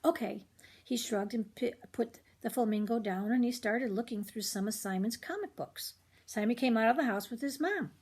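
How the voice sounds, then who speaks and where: Older female storyteller, expressive children's read-aloud, raised pitch with a husky grain. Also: normal speed. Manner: expressive, acts out the dialogue. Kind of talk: reading a children's chapter book aloud.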